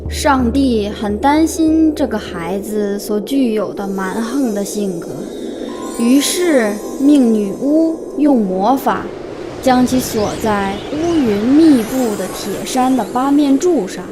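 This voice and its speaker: A woman with a fairly high voice reads a scripted folk tale for an animated film. Her delivery is measured and formal, and music plays clearly behind her narration.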